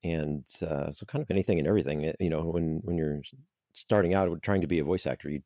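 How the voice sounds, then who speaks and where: A man's voice: very deep voice, quick pace, dark tone, no room sound, studio quality. A man speaking as a guest in a casual interview, rambling a little and repeating words as he thinks aloud. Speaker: interview guest, voice actor and audio drama creator.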